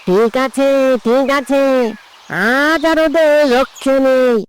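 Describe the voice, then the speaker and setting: A woman's voice: high-pitched, slow, slight background noise. A woman voicing a bossy, threatening ogre gang boss in a children's cartoon. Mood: angry, threatening. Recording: music behind the voice.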